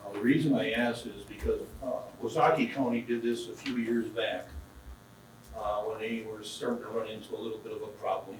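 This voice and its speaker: A man's voice: lowish voice, fairly even intonation, brisk, background noise. A man serving as county supervisor, asking plain-spoken, rambling questions at a public meeting. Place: council chamber. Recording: distant mic in a very echoey hall.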